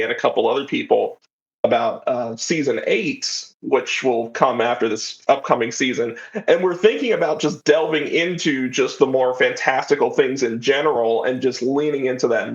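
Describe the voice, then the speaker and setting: A man talking casually as a podcast guest, telling stories in a rambling, informal way. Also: medium pitch. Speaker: podcast guest, audio drama writer. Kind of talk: casual interview chat. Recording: slightly dull, lo-fi audio.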